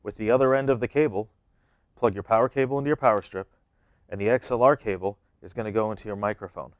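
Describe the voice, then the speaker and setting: A man's voice: fairly low pitch, fast, no room sound, dull audio. A man in his thirties giving plain, step-by-step how-to instructions as an equipment demonstrator.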